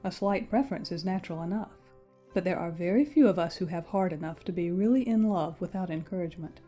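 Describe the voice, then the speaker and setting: Woman doing a worldly young regency lady, soft dark husky voice, matter-of-fact advice, audiobook drama. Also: fairly low pitch, soft background music, natural voice. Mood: cool advice. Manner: measured, matter-of-fact, formal period diction. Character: pragmatic, worldly young gentlewoman, coolly advising.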